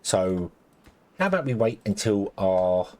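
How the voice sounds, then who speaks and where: A man commentating his gameplay casually, rambling with lots of ums and restarts, and a little croaky as he loses his voice. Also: lowish voice, big pitch swings, measured pace, a little mellow. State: slightly croaky, losing his voice. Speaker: let's play host.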